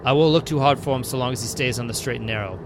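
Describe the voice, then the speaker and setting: Stern but fair man, played by a male actor, audio drama: medium pitch, distinct urban noise behind. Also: rapid-fire, lightly acted. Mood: firm, measured. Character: stern but lenient man warning a friend.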